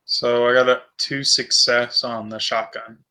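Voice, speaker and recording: A man's voice: mid-range voice, level tone, unhurried, sharp tone. A man chatting casually as a player in a tabletop role-playing game. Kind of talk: casual chat during a game.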